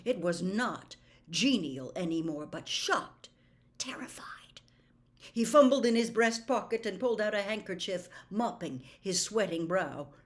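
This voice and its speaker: A woman reading a novel aloud as a storyteller, with deliberate, dramatic and expressive narration. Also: medium pitch. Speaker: audiobook reader.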